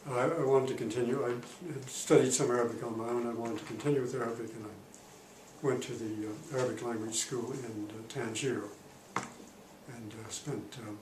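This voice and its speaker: An elderly man in his eighties talks about his past as a diplomat in a calm, halting way, stopping often to search for words. His voice sits at an ordinary pitch for a man. He is recorded from some way off in a reverberant room with a faint hiss behind him. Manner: halting, many pauses and fillers. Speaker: retired diplomat interviewee. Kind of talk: oral history interview. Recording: roomy, distant mic, faint noise.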